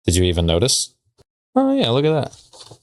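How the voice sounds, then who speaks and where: A man's voice: medium pitch, huge pitch swings, normal speed. A man co-hosting a casual podcast, bantering and reading letters aloud in a conversational way. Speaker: podcast co-host.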